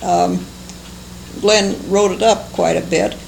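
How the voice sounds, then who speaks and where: An older American woman recalling her life for an oral history, speaking in a halting, unhurried, fond way. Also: on the low side, fairly even intonation, gravelly, darkish tone. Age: older, seventies or above. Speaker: interviewee, longtime local resident. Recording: noisy amateur oral history recording.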